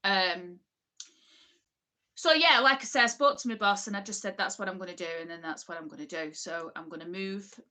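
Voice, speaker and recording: A woman's voice: mid-range voice, brisk, bright, forceful tone. A woman with an Oldham accent, hosting a casual livestream and rambling chattily while reading viewers' comments. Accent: Oldham, northern English. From England. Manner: chatty, rambling, many ums and asides. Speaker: livestream host.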